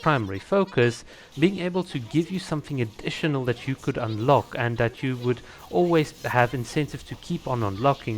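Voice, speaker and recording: A man talking casually to viewers as a video creator, explaining his opinions and laying out ideas with examples. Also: medium pitch.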